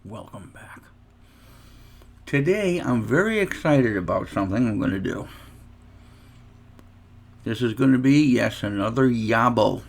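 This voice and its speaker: A man's voice: mid-range voice, expressive, unhurried, creaky, long pauses. A man chatting casually in an unboxing vlog, telling a long anecdote with asides and restarts. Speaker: guitar reviewer.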